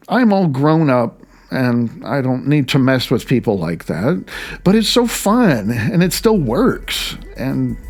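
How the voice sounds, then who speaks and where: A man reading his own first-person audiobook as its author, in a halting, wry and self-deprecating way, stumbling over words now and then. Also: mid-range voice, creaky, a little mellow.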